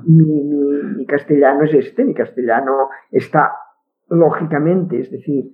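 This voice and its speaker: A woman's voice: sits low, steady tone, measured pace. Woman with a Catalan accent, tinny line. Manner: firm, opinionated, restarts words often. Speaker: guest linguist. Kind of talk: casual conversation.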